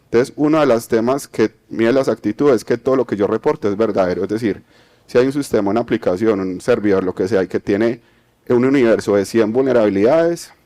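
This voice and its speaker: A man's voice: on the low side, fairly quick, mellow. A man from Colombia with a Medellín accent, giving an explanatory conference talk, checking in with the audience as he goes. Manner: explanatory, many fillers and restarts, tag questions. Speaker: conference speaker.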